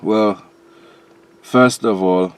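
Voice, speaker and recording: A man's voice: fairly low pitch, extremely slow, clean tone. A man from Jamaica with a transatlantic accent, talking casually and in slang as a rapper guest in an interview. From Jamaica, later moved to England. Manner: conversational, slangy.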